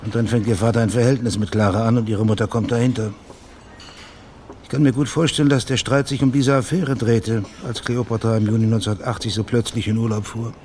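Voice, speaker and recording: An actor playing a probing, quietly insistent private investigator in a German radio crime drama, speaking in a measured, matter-of-fact way. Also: male voice, medium pitch, steady tone, slight background noise, close to an everyday voice. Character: probing, plain-spoken male private investigator named Max, quietly insistent.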